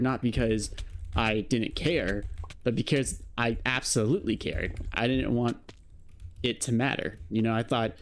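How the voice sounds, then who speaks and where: A man's voice: mid-range voice, a little mellow, slight background noise. A young man in his late teens talking reflectively to camera in a rambling vlog, full of fillers and "you know". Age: young adult. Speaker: vlogger. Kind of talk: casual monologue to camera.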